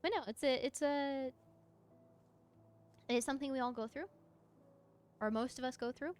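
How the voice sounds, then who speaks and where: A woman chatting casually on a livestream as she plays, answering viewers in an expressive, encouraging, effusive way with a mezzo voice. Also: sits high, moderate pace, clean tone, faint music behind. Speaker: VTuber live streamer. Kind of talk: casual livestream chat while gaming, answering viewer comments.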